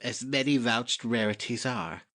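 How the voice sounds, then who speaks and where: A man's voice: mid-range voice, measured pace, a bit bright, close to an everyday voice. A man playing a cynical, idle-witted nobleman who delivers sneering quips in a Shakespeare audiobook. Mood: mocking. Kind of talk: dramatic Shakespeare audiobook reading.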